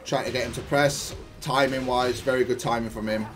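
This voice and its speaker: A man's voice: medium pitch, fairly even intonation, a little fast, a bit bright, faint music behind. A man giving explanatory gameplay commentary as a player, thinking aloud through his match in gaming jargon. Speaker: gaming YouTuber and player analysing own match.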